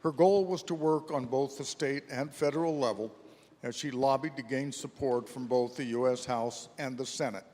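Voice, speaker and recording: A man narrates a staged historical reading from a script. His voice sits at an average pitch, and it rings with the long reverb of a large hall. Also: normal speed, a little mellow.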